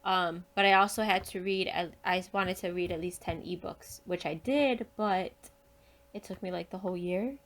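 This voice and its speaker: A woman's voice: lowish voice, moderate pace, a bit bright. A woman speaking as a podcast guest in a casual book chat, informal and chatty, laughing readily.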